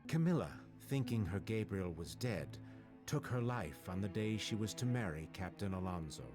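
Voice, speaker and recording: A man playing a grave, secretive academy doctor in a video game, with deliberate, solemn delivery. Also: on the low side, expressive, close to an everyday voice. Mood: grave, regretful. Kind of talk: dramatic video game voice acting. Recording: music behind the voice.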